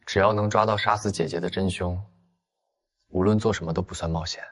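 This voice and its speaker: An actor playing cool, restrained, clever young men in a detective drama for an animated comic. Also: male voice, low voice, a little fast. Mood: serious.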